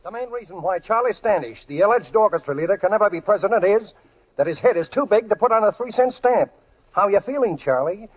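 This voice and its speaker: A brash gossip columnist from a 1940s radio comedy. He wisecracks in snappy, sardonic patter, pitched high for a man. The recording is dull and old. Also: animated, fairly quick, breathy edge. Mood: mocking, showy.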